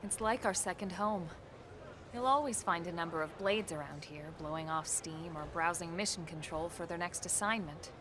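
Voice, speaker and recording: An actress playing a composed, level-headed female soldier and mentor in a video game, measured, matter-of-fact and gently reproving. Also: fairly low pitch, background noise, lightly acted. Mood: calm, informative. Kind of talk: video game cutscene acting.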